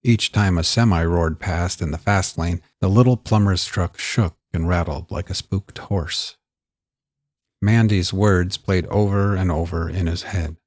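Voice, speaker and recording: A man hosting and narrating scripted crime fiction in a measured, theatrical way, in the manner of old-school mystery shows. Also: low-pitched, no room sound, studio quality. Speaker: podcast host and narrator.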